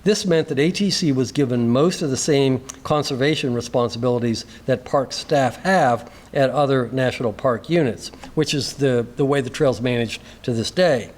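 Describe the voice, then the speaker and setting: A man at a mid pitch reads a prepared introduction at a ceremony. His delivery is formal and measured, with a few small stumbles as he calls the next speaker forward. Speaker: master of ceremonies at an induction.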